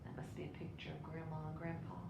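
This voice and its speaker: A woman reading a children's picture book aloud in an expressive way. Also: quick pace, muffled recording. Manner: expressive, with brief asides to listeners. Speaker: storybook reader. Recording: roomy echo, clear low rumbling noise.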